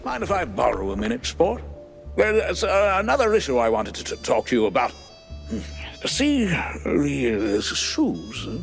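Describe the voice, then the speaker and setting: Casting: higher-voiced, creaky, genteel rodent tipster, stammering haltingly, with lively swoops. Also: male voice, faint music behind, full character voice. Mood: hesitant, awkward. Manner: halting stutter, genteel phrasing. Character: nervous, polite, stammering male rodent informant. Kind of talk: noir cartoon game acting.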